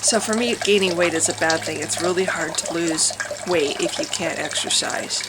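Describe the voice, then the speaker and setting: Vlogger: nasal-voiced middle-aged woman, candid rambling monologue, trickling water clearly behind. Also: lowish voice, moderate pace, heavy background noise. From Wisconsin, USA. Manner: rambling, candid, thinking aloud with many restarts. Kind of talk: personal monologue to camera. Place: her home with water fountains running.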